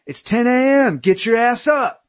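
An indignant young man played by a male narrator scolds loudly in a raised, high-pitched voice. He sounds exasperated and repeats himself as he complains, like a character in an audiobook story. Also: expressive, unhurried. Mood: barking, angry.

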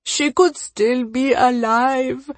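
A woman voicing a tearful, guilt-ridden elderly man in an audiobook, speaking slowly and haltingly with sorrow. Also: medium pitch, creaky, no echo. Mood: sobbing, guilty. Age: older. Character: grief-stricken guilt-ridden elderly Indian man confessing a secret. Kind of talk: audiobook character acting.

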